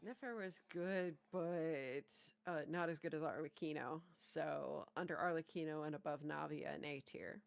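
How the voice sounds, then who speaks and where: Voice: medium pitch, steady tone, normal speed, creaky, few pauses, clean tone, no room sound. A reaction show co-host chatting casually and giving opinions.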